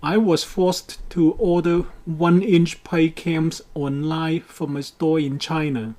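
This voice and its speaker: Slow-paced man explaining a DIY build. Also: on the high side, fairly even intonation, darkish tone. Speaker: DIY boat project presenter. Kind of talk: scripted how-to narration.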